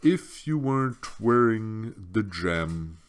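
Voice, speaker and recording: A man voicing an ancient, apathetic giant female turtle for a storybook, with a gurgling wheeze and a slow, weary, irritable drawl. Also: lowish voice, lively intonation, voice pushed up. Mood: grumbling, weary. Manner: slow, drawling, resigned speech.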